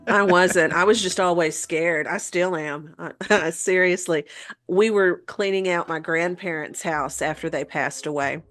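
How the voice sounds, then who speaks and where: A woman co-hosting a show in casual chat, speaking in an informal, expressive way. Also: on the low side, measured pace, faint music behind. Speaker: podcast co-host.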